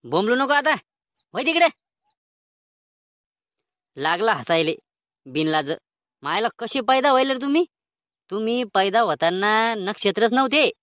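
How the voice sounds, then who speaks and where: A woman's voice: fairly high pitch, animated, unhurried, long pauses, a bit bright, no room sound. Scolding village schoolmaster voiced by a woman, dry sound. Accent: rural Maharashtra. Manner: scolding, threatening, coarse. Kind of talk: comedy cartoon dialogue.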